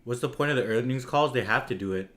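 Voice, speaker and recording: A man's voice: mid-range voice, quick pace, a bit bright. A man hosting a livestream with casual, slangy reaction commentary in an informal way. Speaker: livestream host.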